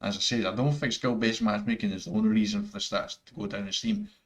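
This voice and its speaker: A man's voice: fairly low pitch, fast talker, a bit bright. A man with a thick Scottish accent, giving rambling, earnest opinions over gameplay footage, full of fillers and restarts. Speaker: gaming YouTuber.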